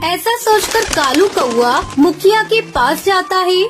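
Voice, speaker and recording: Female narrator for children's animated tales, extremely high childlike pitch, music bed. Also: slow pace. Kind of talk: scripted children's story narration.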